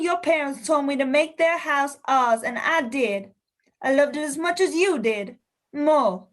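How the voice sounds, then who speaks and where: A woman playing a stubborn, feisty old lady ghost, mischievous and insistent, in a radio play. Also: sits high. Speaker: actor in an amateur radio play.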